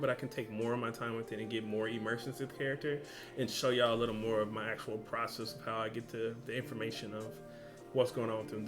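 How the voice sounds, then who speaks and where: A young man hosting a reaction video, chatting casually in slang and exclaiming loudly at what he sees. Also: mid-range voice. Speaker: reaction channel host.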